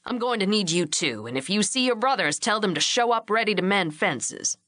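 A woman's voice: medium pitch, animated, fairly quick, bright, forceful tone, no echo, clean studio sound. A woman voicing an older male ranch patriarch in a storybook, scheming and firm with easy authority. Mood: commanding.

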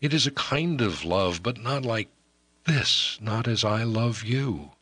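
A young noblewoman torn by love pleads through tears, as a male narrator takes her on in a storybook reading. Her lines sit well above the reader's own deep register, still carrying his bright, forward tone. The sound is close and dry. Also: expressive, slow, husky, no echo, heavily acted. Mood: pleading. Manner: pleading, tearful. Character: young noblewoman, anguished and passionate lover. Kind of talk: audiobook character reading.

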